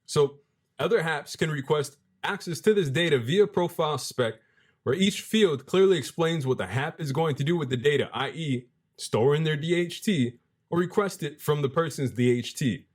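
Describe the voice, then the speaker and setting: A young man with a deep voice giving casual, animated commentary as a crypto video host, reading passages aloud and using slang. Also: normal speed. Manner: animated, hype-driven, street slang, restarts mid-phrase.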